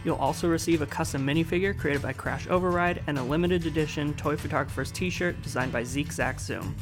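A man's voice: a little high, a little fast, over music. A man with an American accent hosting a podcast, moving between scripted introductions and casual interview chat. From United States. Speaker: podcast host.

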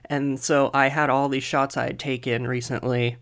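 A man co-hosting a casual podcast, chatty with lots of fillers, soft and a little husky as he comes down with a cold. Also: mid-range voice, little pitch movement, moderate pace. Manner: chatty, laughs often, many fillers. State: coming down with a cold. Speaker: podcast co-host.

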